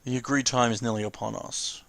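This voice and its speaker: A man's voice: mid-range voice, fairly even intonation, fairly quick, creaky, fairly bright tone, no room sound. A man voicing worried young fantasy game heroes, reading their lines aloud with an earnest, anxious manner. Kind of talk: amateur read-aloud of game dialogue.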